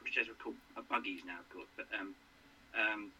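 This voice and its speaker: A man in his sixties from England, a guest in a casual interview, who talks haltingly with many fillers and restarts. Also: on the low side, steady tone, pushed and bright, slightly rough, low-quality recording.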